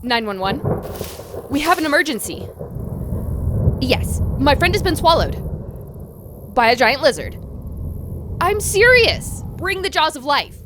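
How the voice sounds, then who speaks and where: A woman's voice: high-pitched, sing-song, a little slow, pauses often. A woman playing a keen, take-charge lizard researcher in an audio drama. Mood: alarmed. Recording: clear background noise.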